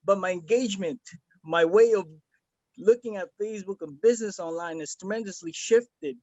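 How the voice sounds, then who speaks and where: A man's voice: sits high, normal speed, darkish tone, no room sound, highs cut off. A man from the Philippines giving an earnest, rambling testimonial over a video call, with many fillers and restarts. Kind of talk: casual video-call chat.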